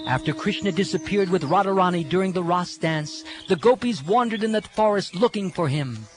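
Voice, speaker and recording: A man's voice: sits high, lively intonation, brisk. A man giving a theatrical, reverent reading of devotional scripture as a narrator. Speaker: reader of scripture. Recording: music under the voice. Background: music.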